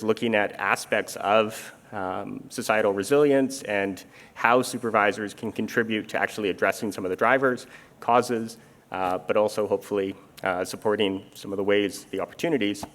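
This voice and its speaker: Measured Canadian man explaining policy onstage. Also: fairly low pitch, fairly even intonation, a bit bright. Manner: measured, explanatory, many fillers. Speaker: international insurance supervision official. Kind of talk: onstage armchair conversation. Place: conference stage. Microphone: handheld or stage microphone.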